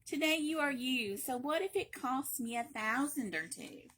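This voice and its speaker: Expressive higher-voiced woman reading aloud. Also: normal speed, a little hiss or hum. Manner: playful, expressive, emphatic with repetition and dramatic hushes. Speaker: library read-aloud reader. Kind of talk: animated children's picture book reading with playful asides.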